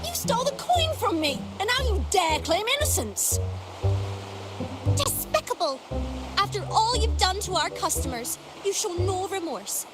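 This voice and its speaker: An actress playing a stern, righteous shopkeeper in a video game, prim, clipped and scolding. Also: female voice, extremely high pitch, sing-song, unhurried, over loud music, heavily acted. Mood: outraged.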